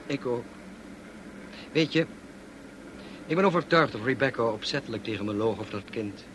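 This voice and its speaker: An actor playing a grave, tormented gentleman husband in a radio drama, intense and clipped. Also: medium pitch, animated, normal speed, background noise, natural voice. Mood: bitter, certain.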